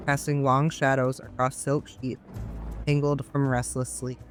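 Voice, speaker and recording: A man running a tabletop game as game master, narrating the setting in a dramatic, measured way. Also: mid-range voice, little pitch movement, faint music behind.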